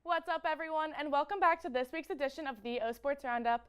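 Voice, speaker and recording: Young female student anchor, high voice, scripted sports news. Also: fairly even intonation, quick pace. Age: young adult.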